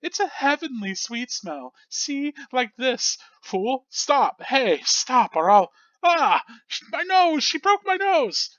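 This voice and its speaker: A man voicing a whiny, mischievous male plush-toy spirit with a shrill, squealing voice. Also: sing-song, slow, fairly bright tone, no echo, dull audio, exaggerated voice. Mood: whining.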